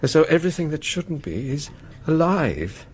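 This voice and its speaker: An actor playing a haggard middle-aged college warden in a radio drama, halting and anguished, tormented by nightmares. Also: male voice, fairly high pitch, sing-song, a little hiss or hum. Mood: haunted, fearful. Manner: halting, anguished, broken phrases.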